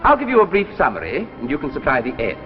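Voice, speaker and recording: An actor playing an older, courteous and persuasive successful author in a 1950s radio drama, with a theatrical, gracious manner. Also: male voice, on the high side, huge pitch swings, fast talker, over loud music. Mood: persuasive, insistent. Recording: muffled, hissy old radio recording, reverberant.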